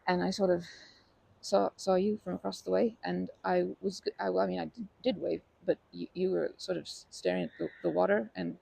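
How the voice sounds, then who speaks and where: Woman acting a timid, very creaky-voiced park acquaintance. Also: fairly low pitch, steady tone, warm tone, no room sound. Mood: nervous, hesitant. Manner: halting, stammering, nervous, self-correcting. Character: timid, kind park regular comforting a shy acquaintance. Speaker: ASMR roleplay performer. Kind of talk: ASMR roleplay, improvised-sounding intimate monologue.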